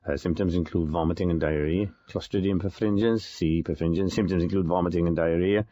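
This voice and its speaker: A man playing a pedantic government professor, lecturing precisely and deadpan as a guest on a spoof radio interview. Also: sits low, brisk, lo-fi sound, lightly acted. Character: pedantic, dry male government food poisoning professor. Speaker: expert guest.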